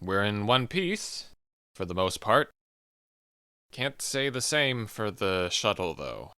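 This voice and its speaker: A man's voice: fairly low pitch, sing-song, slow pace, sharp tone, clear, resonant tone, heavily acted. A man playing a matter-of-fact robot officer in an audio drama, dry and clipped. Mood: dry, weary.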